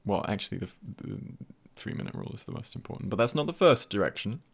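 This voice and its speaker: A man playing an easygoing, comforting male friend in an intimate ASMR roleplay, husky and gentle, with unhurried pauses and light teasing. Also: wildly swooping pitch, heavily acted. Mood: playful, teasing. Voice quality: husky, breathy, gravelly low voice.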